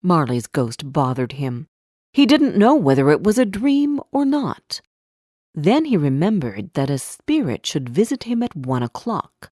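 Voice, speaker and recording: Female narrator for learners' fairy tales: lowish pitch, unhurried deliberate delivery, scripted. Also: very animated, darkish tone, dry recording, studio quality.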